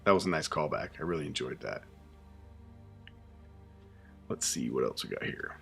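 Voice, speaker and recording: Casual male streamer, deep voice, loose chatty delivery with fillers. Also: moderate pace, gravelly, breathy edge, faint music behind. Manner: relaxed, chatty, many fillers and restarts. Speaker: YouTube host reacting to a show. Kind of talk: casual live reaction chat.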